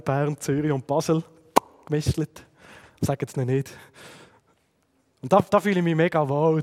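A man in his thirties with a Swiss German accent from the Sense district of Fribourg, preaching an earnest sermon that drops to quiet emphasis. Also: fairly high pitch, in a large hall. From Switzerland.

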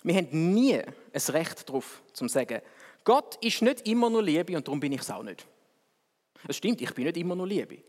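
A man preaching with a Swiss German accent and a slightly high voice. He talks to his congregation in a conversational, wry way, pushing ahead quickly and then slowing down hard for emphasis. His voice rings with the long reverb of a church hall. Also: sing-song, a little mellow. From Switzerland. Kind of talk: sermon.

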